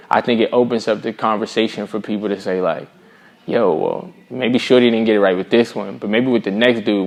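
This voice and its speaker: A middle-aged man from Boston with an American accent, speaking as a singer-songwriter in a casual interview, earnest and reflective with plenty of slang. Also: lowish voice. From Boston, USA.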